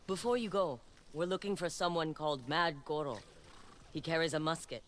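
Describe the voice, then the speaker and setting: Actress as blunt, low-toned warrior woman. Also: moderate pace, slight background noise, close to an everyday voice. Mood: businesslike. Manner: terse, blunt. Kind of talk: video game dramatic acting.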